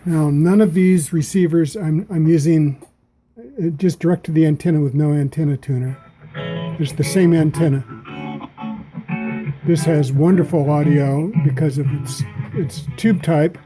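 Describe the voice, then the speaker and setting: A man giving a casual, measured demonstration as a radio hobbyist. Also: a little high, warm tone, faint music behind, rough recording. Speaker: amateur radio operator demonstrating receivers. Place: small indoor radio room.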